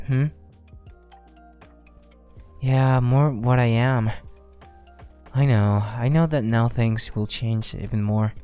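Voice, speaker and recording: A man playing a shy, affectionate werewolf boyfriend in a soft, hesitant romantic ASMR roleplay. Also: mid-range voice, close to an everyday voice. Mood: tender, worried. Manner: hesitant, affectionate, soft-spoken, often chuckling. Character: shy, tender male werewolf boyfriend, young adult.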